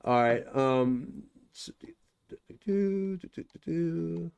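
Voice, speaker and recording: A man's voice: a little high, expressive, slow, gravelly, darkish tone, clear, resonant tone. A man acting as game master, narrating combat and ruling on dice rolls in loose, chatty talk full of "you know". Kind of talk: running a tabletop game, casual narration.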